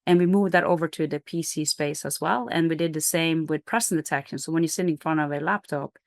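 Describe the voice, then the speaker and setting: A woman's voice: low-pitched, a little fast, no room sound, studio quality. A middle-aged woman from Norway, a company CEO, explaining her business in an interview with energy, fillers and restarts.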